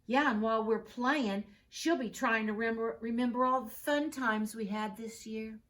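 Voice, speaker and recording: A woman's voice: fairly high pitch, normal speed, fairly bright tone, pitched above the performer's own voice, natural voice. A woman reading aloud voices a chatty young schoolboy, enthusiastic.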